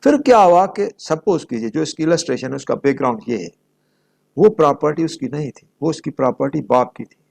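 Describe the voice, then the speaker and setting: High-pitched man lecturing, creaky voice. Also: expressive, brisk, darkish tone. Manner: didactic, repeats and rephrases points. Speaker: law lecturer. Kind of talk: explanatory lecture mixing read-out statute and plain examples.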